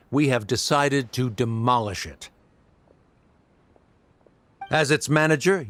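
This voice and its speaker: An actor playing a cold, condescending academic official in a game who speaks formally and dismissively. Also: male voice, medium pitch, no room sound, close to an everyday voice. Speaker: official delivering an order.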